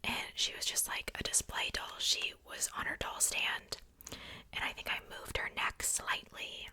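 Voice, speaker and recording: Young woman doing soft breathy asmr whispering, super crisp and bright, just casually rambling along. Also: a little slow. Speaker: ASMR video creator.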